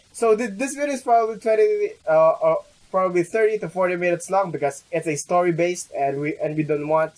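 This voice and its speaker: A man's voice: on the high side, darkish tone, rough recording. A young man doing casual let's play commentary, stumbling and rambling as he reads game text aloud. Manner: halting, stumbling, rambling, mild swearing. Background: game music.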